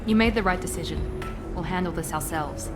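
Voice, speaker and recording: A woman's voice: on the low side, fairly quick, music in the background, natural voice. An actress playing a confident, controlled female operative in a science fiction video game. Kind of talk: scripted video game dialogue.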